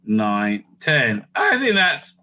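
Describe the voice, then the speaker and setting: Bright-voiced man thinking aloud. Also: medium pitch, wildly swooping pitch, drawn-out words. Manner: rambling, thinking aloud, self-interrupting. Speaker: video host ranking TV stories. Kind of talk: casual, off-the-cuff ranking commentary.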